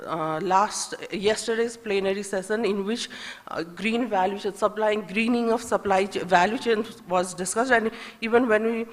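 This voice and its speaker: A woman from Nepal with a Nepali accent, speaking as a conference panelist in a hesitant, halting way, thinking aloud as she makes her points. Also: mid-range voice. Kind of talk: semi-spontaneous panel remarks on trade policy. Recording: echoey hall with long reverb.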